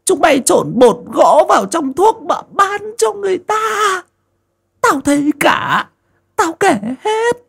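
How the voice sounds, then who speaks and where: A man's voice: extremely high pitch, big pitch swings, slow pace, gravelly, a bit bright, pitched above the performer's own voice, exaggerated voice. A man voicing a stern, grieving old mother, scolding shrilly and wailing. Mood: furious.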